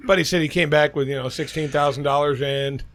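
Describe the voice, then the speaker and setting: A loud man with a Midwestern Cincinnati accent, spinning crude stories on a podcast. His voice sits a bit high, and he states his opinions flatly. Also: normal speed. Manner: loud, storytelling, blunt opinions. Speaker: podcast co-host. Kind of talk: casual crude podcast banter.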